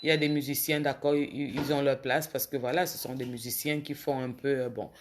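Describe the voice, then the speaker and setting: Woman on a phone livestream, sick and a bit hoarse, fed up, telling off commenters. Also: a bass voice, steady tone, a little fast, a little hiss or hum. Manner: indignant, scolding commenters directly. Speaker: social media livestreamer. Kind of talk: casual livestream talk to viewers.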